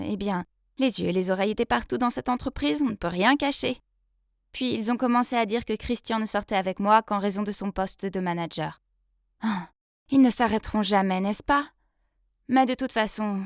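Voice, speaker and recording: A woman voicing an earnest young female office intern who tells her love story in an expressive, confiding way. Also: medium pitch, no echo, studio quality, lightly acted. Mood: relieved, confiding, then weary, resolved. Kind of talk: dramatised first-person story narration.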